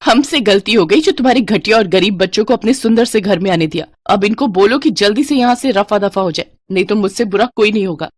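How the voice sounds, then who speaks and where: A woman's voice: mid-range voice, a little fast, hardly any pauses, lightly acted. Haughty rich mistress scolding , acted by a woman. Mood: angry, contemptuous. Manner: sharp, contemptuous, threatening.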